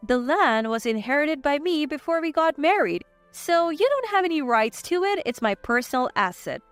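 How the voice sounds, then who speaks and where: Narrator as unyielding wife, scornful and firm, high animated female voice. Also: normal speed, dry recording, faint music behind. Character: cool, resolute wife divorcing her husband.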